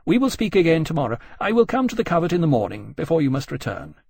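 A man's voice: a little high, sing-song, vocal fry, husky, no echo, studio quality, voice pushed up, full character voice. Man playing a haughty admiral, raised voice. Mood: easy hauteur. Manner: brisk, formal, condescending. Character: self-assured, haughty male admiral, smoothly dismissive.

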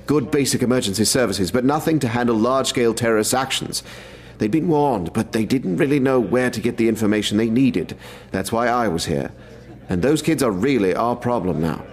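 An actor playing a brisk male military major who briefs in a businesslike way. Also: medium pitch, natural voice. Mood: serious.

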